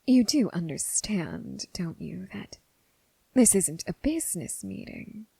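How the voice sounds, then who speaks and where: A woman playing a seductive, menacing crossroads demon who toys with her prey, in a breathy, whispered ASMR roleplay with slow, teasing, formal phrasing. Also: on the low side, very animated, dark tone, no room sound, exaggerated voice. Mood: bored, stern.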